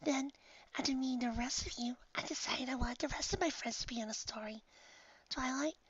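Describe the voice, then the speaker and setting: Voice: high-pitched, fairly quick, dull audio, pitched above the performer's own voice. Tiny, timid whispery mare. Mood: shy. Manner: breathy, hesitant, very quiet. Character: timid, soft, gentle female pegasus storyteller.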